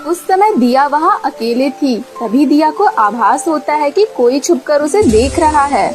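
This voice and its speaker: High-pitched woman storyteller. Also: lively intonation, unhurried, faint music behind. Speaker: narrator. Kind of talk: scripted children's cartoon story narration.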